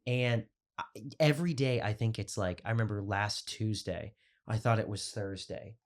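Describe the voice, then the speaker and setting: A man co-hosting a casual podcast, joking and telling animated stories, often calling others "dude". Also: on the low side, moderate pace. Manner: joking, animated storytelling, says "dude" a lot. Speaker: co-host, teacher.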